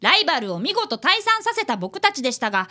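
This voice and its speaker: A woman's voice: high-pitched, big pitch swings, quick pace, sharp tone, heavily acted. A woman playing an earnest, polite fifth-grade boy detective apprentice in a radio drama, who also narrates. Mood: earnest narrating.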